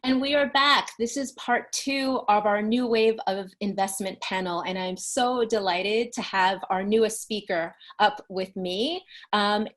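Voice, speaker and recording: A woman moderating a panel discussion on a video call, prompting guests with gentle questions and effusive thanks. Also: medium pitch.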